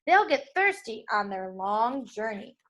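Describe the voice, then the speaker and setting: A woman's voice: on the high side, big pitch swings, a little slow, a bit bright. A woman voicing a timid but willing young male reindeer in a children's storybook, earnest and hesitant. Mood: earnest, eager.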